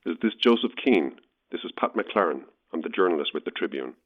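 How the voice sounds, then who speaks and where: An actor playing a probing, awkwardly blunt Irish newspaper journalist, heard through a telephone filter in an audio drama. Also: male voice, deep voice, lively intonation, brisk, husky. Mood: businesslike. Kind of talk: naturalistic audio horror drama. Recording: thin, narrow telephone line.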